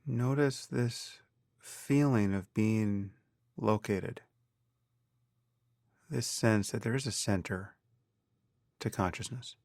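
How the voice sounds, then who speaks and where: A man leads a guided meditation in a low voice with a gravelly edge. He speaks very slowly and calmly, setting each phrase apart with deliberate pauses. The sound is dry and close, with no room around it. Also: no echo. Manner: calm, measured, deliberate, with pauses. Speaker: meditation teacher.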